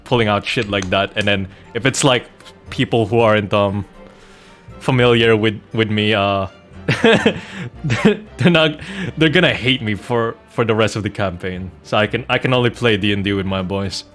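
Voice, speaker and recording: A man streaming a game, chatting casually and telling anecdotes in an animated, joking way with mock innocence. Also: lowish voice. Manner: animated, jokey storytelling with mock-innocent protests. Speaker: streamer playing a video game and host of the channel.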